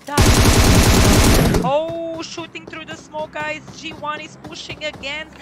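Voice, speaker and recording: Woman, Croatian accent, young adult, high pitch, excitable esports commentary, loud gunfire underneath. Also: slow pace, very noisy. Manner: excitable, rising to shouts at big plays. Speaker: streamer casting a mobile game tournament. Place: small room at home, indoors. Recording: loud gunfire from game audio.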